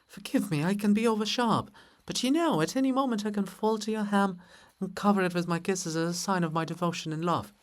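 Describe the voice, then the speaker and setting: A man's voice: high voice, brisk, few pauses, pitched above the performer's own voice, close to an everyday voice. A man voicing a bold, sly, devoted sister in a theatrical, teasing, insistent stage reading. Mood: tender, pleading. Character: Sister Lybid, bold, sly, devoted female river spirit.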